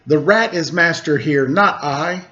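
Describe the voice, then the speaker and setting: A man's voice: a little high, unhurried, bright, forceful tone. A man voicing a complaining, exclaiming feudal Japanese lord in a storybook tale. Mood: complaining, pleading. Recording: dull lo-fi recording.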